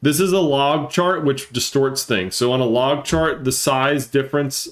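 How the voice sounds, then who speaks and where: A man's voice: mid-range voice, unhurried. A man with a congested, stuffy-nosed voice, explaining things casually as a live-stream market analyst and repeating points for emphasis. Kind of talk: casual live chart commentary and trading lesson.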